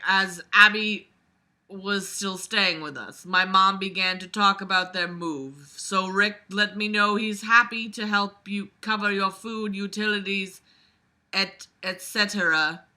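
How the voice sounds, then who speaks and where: A woman's voice: medium pitch, fairly even intonation, unhurried, sharp tone. A woman reading a book aloud and critiquing it casually, blunt, exasperated and sweary, with sarcastic commentary.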